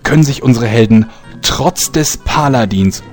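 A man's voice: mid-range voice, expressive, a little slow, soft background music, close to an everyday voice. A man playing a pompous announcer of a parody soap serial audio drama. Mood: portentous. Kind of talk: parody cliffhanger narration.

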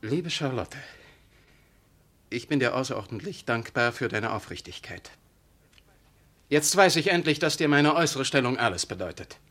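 An impassioned young curate in a radio drama. He is self-righteous and easily wounded, and declaims with a very animated pitch that swings widely. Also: normal speed, long pauses. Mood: indignant, pious. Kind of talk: German radio drama acting.

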